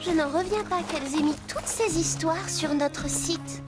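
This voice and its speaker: A woman's voice: sits high, unhurried, loud music behind the voice. A woman voicing an earnest, conscientious young girl horse rider in a French cartoon dub. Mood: concerned.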